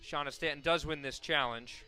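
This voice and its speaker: A young man calling softball play-by-play for college radio, correcting himself often and surging loud on big plays. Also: on the high side, moderate pace, soft background music. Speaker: play-by-play announcer.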